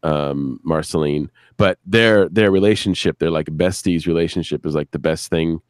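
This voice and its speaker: A man chatting casually as a guest show creator on a live stream, rambling and halting, repeating words and swearing freely. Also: a bass voice, no room sound, studio quality.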